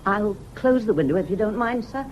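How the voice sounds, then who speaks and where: A woman's voice: mid-range voice, animated, fairly quick, dull audio, slight background noise, lightly acted. A woman playing a deferential, matter-of-fact housekeeper with opinions of her own, in a period mystery radio play. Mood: matter-of-fact, fretting.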